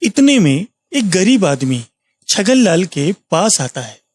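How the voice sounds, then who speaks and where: A man's voice: sits high, big pitch swings, slow pace, a little mellow, breathy edge, dry recording, clean studio sound. A man narrating a scripted moral story as a storyteller.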